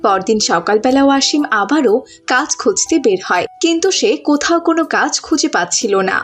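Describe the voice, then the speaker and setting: A woman's voice: sits high, animated, normal speed, faint music behind. A woman reading scripted narration for a cartoon story.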